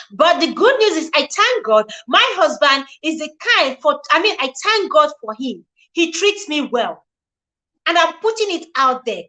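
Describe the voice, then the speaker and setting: A woman in her forties hosts a live relationship talk in a bright, ringing voice. She speaks earnestly and preaches in repeated phrases. She stops to greet viewers by name. Her voice sits high. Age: 40s. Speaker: relationship show host.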